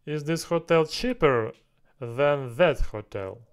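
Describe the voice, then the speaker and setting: A man in his thirties on a headset mic in a small room, working through language drills out loud, repeating and translating each phrase and muttering to himself. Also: on the high side, animated, slow, a little hiss or hum. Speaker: language learner streaming a lesson. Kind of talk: thinking aloud while doing app exercises.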